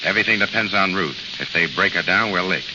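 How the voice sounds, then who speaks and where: An American actor playing a tough, wisecracking gang boss in a 1930s radio drama, with clipped, slangy talk. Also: male voice, sits low, fast talker, close to an everyday voice. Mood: urgent, scheming. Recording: muffled, noisy old radio recording, reverberant.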